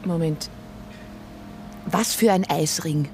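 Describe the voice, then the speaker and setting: A woman's voice: low voice, slow pace, darkish tone, a little hiss or hum. An actress playing a polite, questioning and incredulous woman radio presenter held hostage in a radio play. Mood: polite disbelief. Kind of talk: contemporary radio play acting.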